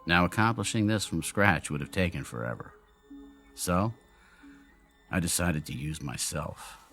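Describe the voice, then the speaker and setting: A man's voice: low-pitched, expressive, a little slow, fairly bright tone, soft background music. An actor in a radio drama playing a brilliant, lonely male inventor who explains himself in theatrical, brooding monologues. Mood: proud explaining. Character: brilliant, lonely, self-doubting male inventor of an android double.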